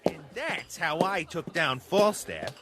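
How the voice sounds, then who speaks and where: An actor playing a cocky, boastful small-time gangster with a brash, streetwise manner in a pulp radio drama. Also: male voice, on the high side, animated, takes it slowly, music in the background. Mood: scheming, boastful.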